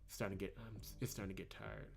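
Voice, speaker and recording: A man's voice: on the low side, little pitch movement, quick pace, gravelly. A man giving casual commentary on a video game playthrough, reading along as he goes. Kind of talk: casual commentary, reading visual novel dialogue aloud. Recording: game music behind the voice. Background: game music.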